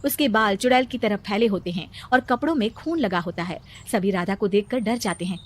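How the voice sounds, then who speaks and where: A woman narrating a cartoon storybook in a plain, even storytelling way. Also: mid-range voice, fairly quick.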